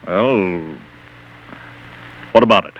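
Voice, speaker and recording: A man's voice: deep voice, lively intonation, slow, muffled recording, exaggerated voice. An actor playing a callous, dismissive man facing a jilted lover in 1940s radio drama, curt and cold, on a hissy old recording. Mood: wary, curt.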